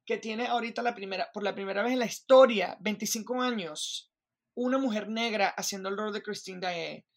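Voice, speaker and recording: A woman from Venezuela with a Venezuelan accent, talking as a guest in an interview in an animated way, restarting sentences and checking in with the listener. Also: mid-range voice, brisk. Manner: animated, restarts, keeps asking "me entiendes". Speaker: Broadway performer, guest. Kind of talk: interview conversation.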